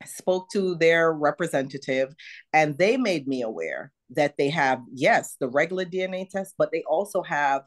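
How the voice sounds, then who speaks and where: A middle-aged woman from Jamaica with a mild Jamaican accent, telling her story animatedly as a guest on a video call interview. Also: moderate pace. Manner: animated, jumps high to act out her own past words. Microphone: video call webcam.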